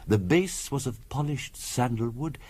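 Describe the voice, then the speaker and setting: Radio drama role: grave, courteous mystic storyteller, portentous and formal, husky, very expressive in pitch. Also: male voice, a little slow. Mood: solemn, formal. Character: solemn, courteous male mystic recounting a family curse. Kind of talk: 1970s radio drama acting.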